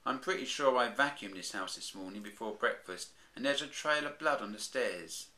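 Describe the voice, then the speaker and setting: A man's voice: medium pitch, animated, moderate pace, sharp tone, reverberant, pitched above the performer's own voice. A man voicing a fussy, house-proud mother bear in a comic storybook reading.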